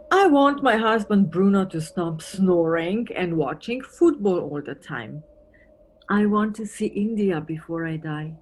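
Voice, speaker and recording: An actress playing a wry, wistful married police detective from Antwerp in an audio play, musing conversationally. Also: female voice, mid-range voice. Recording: noisy recording with background ambience.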